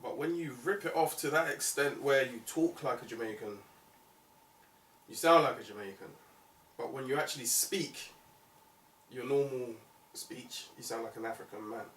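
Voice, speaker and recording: A man's voice: mid-range voice, moderate pace, fairly bright tone. A man hosting a conversational music interview, speaking in a reflective, opinionated way. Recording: roomy, distant mic, faint noise.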